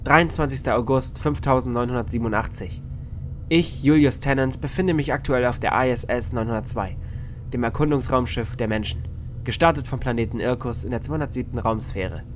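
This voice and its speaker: A man's voice: medium pitch, a little fast, lightly acted. Man playing an earnest space scientist, noisy backdrop. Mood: solemn, formal. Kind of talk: audio drama log narration.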